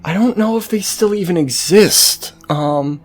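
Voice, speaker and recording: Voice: medium pitch, a little slow, faint music behind. A game series host giving casual, sweary let's-play commentary in a rambling, hesitant way.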